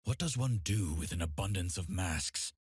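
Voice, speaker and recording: Game role: solemn masked swordsman, deep measured delivery, brooding, very dry close sound. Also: expressive, husky, dry recording, full character voice. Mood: grave, resolute. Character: solemn, brooding masked swordsman hunting demons between life and death. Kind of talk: scripted video game character voice lines.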